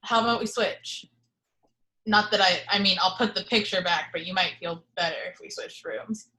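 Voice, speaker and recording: A man's voice: high voice, normal speed, bright, forceful tone, low-quality recording. A woman reading the part of a decent, awkwardly joking young man in a film script. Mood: earnest.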